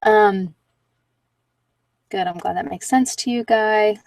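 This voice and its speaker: A woman with a mid-pitched voice hosts a livestream in a loose, rambling chat. She is informal and candid, with a self-deprecating streak. The sound is dry, and she sits a little far from the mic. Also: slow, dry recording. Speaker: livestream host giving card readings. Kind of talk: casual rambling chat with live viewers.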